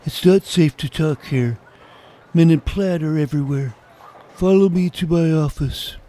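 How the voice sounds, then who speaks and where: A man's voice: on the high side, unhurried, mellow, natural voice. A man playing a big middle-aged cryptozoologist in an audio drama, prickly, wary and pedantically literal. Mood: wary, defensive. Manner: stiff, pedantic, hesitant.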